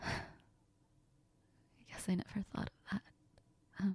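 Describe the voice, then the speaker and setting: A woman playing a heartbroken young woman in an intimate audio role-play, crying and confiding in a halting, breathy, often whispered voice. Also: dark tone, close to an everyday voice. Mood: tearful, apologetic. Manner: halting, sniffly, tearful. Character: heartbroken, tearful, apologetic young woman confiding in best friend.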